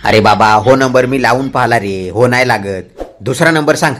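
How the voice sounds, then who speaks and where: A man's voice: mid-range voice, normal speed, natural voice. Male actor as village farmer, short-tempered, blunt, colloquial, comedy cartoon, music underneath. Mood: worried, grumbling. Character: hot-tempered, blunt village farmer uncle, outraged and poor. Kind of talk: Marathi comedy cartoon dialogue. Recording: music behind the voice.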